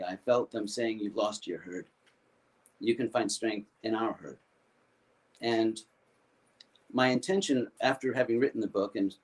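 Older man, low voice, halting storyteller, many restarts. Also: rough recording. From United States. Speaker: foundation founder. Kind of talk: personal storytelling.

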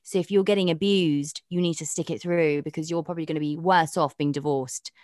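A young woman with a notably low voice talks frankly and chattily as an interview guest, thinking out loud as she goes. The sound is dry and close, with no room around it. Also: fairly even intonation, a little fast, dry recording. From Pakistan. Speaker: presenter and dating podcast host, guest. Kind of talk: candid interview conversation.

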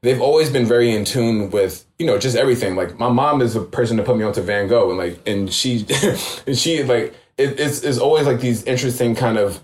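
A man in his thirties from New York, a guest in a casual interview, talking in a relaxed, reflective way with many fillers. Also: mid-range voice, brisk, reverberant. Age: 30s. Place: home room.

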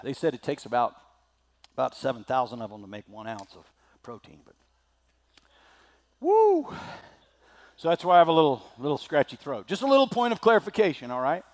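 A male preacher with a slightly scratchy throat, delivering a sermon that swings from loud declaiming to quiet asides. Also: mid-range voice, huge pitch swings, a little fast, long pauses.

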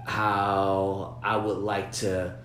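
Rambling low-voiced man in an echoey room. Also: completely flat delivery, drawn-out words, a bit bright, slight background noise. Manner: rambling, repeats words, frequent "you know what I'm saying". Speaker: business-minded artist partner. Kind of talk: casual planning chat.

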